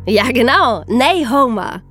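A woman's voice: on the high side, very animated, takes it slowly, a bit bright, faint music behind. A woman co-hosting a podcast, telling history in an explanatory way for young listeners. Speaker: podcast co-host and narrator.

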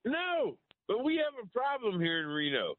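A man's voice: sits high, huge pitch swings, moderate pace. A man calling in to a radio show by phone, explaining himself to the host. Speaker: radio call-in listener. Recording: narrow dry phone line.

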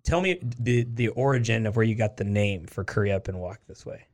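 A man from Oregon hosting a podcast interview, chatty and full of quick affirmations and restarts. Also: on the low side, darkish tone. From United States, Oregon. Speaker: podcast host. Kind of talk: casual interview.

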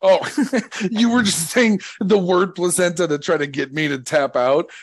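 A man's voice: sits high, steady tone, moderate pace, breathy edge, dry recording. A man in his thirties hosting a podcast, playful and teasing, laughing often. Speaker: podcast host. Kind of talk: casual chat.

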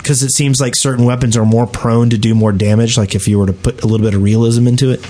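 A man speaks at a middle pitch in casual podcast chat. He explains things as he goes, with a halting, conversational delivery. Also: steady tone, warm tone. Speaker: podcast co-host.

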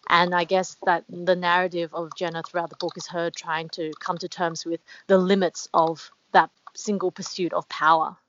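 A woman's voice: fairly low pitch, a bit bright, muffled recording, a little hiss or hum. A woman guest being interviewed, talking reflectively with many fillers and restarts. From Australia. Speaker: author guest. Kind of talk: casual interview talk.

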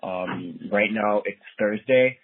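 A man's voice: lowish voice, slow, breathy edge, no echo. A young man from Miami calling in to a radio show, telling his story plainly. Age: young adult. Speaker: caller. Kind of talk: phone call-in. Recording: tinny phone line.

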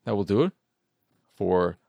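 A man's voice: fairly low pitch, animated, unhurried, creaky, mellow, dry recording, muffled recording. A man hosting a gaming podcast alone, talking in an informal, playful, self-deprecating ramble and sounding tired. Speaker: solo video game podcast host. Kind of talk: casual podcast sign-off, rambling.

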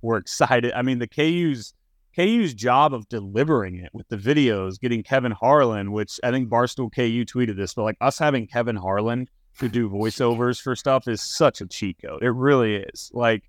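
A man's voice: mid-range voice, moderate pace, no echo, clean studio sound. A man hosting a casual sports talk show, conversational and emphatic with his opinions. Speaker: sports podcast host.

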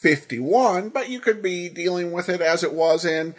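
A podcast host commenting on old radio shows and answering listener feedback in a halting, hesitant way with frequent pauses. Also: medium pitch, expressive.

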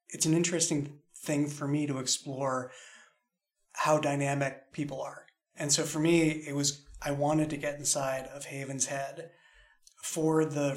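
A man discussing his audio drama writing in a thoughtful, halting way, restating phrases as he explains. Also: on the high side. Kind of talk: reflective discussion of own writing.

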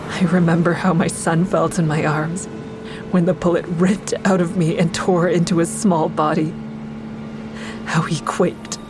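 Woman acting as bitter grieving mother, lowish voice, cold and unforgiving, deliberate audio drama delivery, noisy traffic ambience behind. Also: close to an everyday voice. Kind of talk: full-cast audio drama, first-person narration and dialogue.

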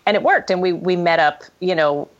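Woman, lowish pitch, conversational interview guest, wry storyteller, muffled lo-fi podcast sound. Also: a little fast. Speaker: screenwriter guest. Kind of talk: casual conversational interview, telling stories. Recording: dull, lo-fi podcast audio.

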